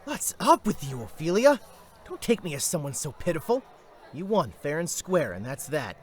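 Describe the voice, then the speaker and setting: A man's voice: fairly high pitch, big pitch swings, moderate pace. A man playing a proud, good-humoured younger brother in casual sibling banter for a fantasy audio drama.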